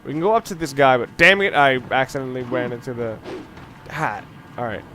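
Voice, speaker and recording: A man's voice: medium pitch, expressive, fairly quick, sharp tone. A man giving casual, unscripted video game commentary as a let's play host, rambling into musing, dryly humorous asides. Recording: clear background noise under the voice.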